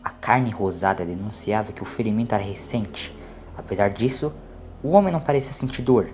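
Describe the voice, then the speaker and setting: A man's voice: on the low side, brisk, a little mellow. A man with a Brazilian accent narrating a scripted audiobook story.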